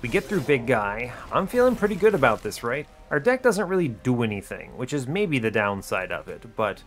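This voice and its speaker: A man giving strategy game commentary as host, thinking aloud in a deliberate, explanatory way and often questioning his own choices. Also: mid-range voice, big pitch swings, soft background music. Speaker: gaming video host.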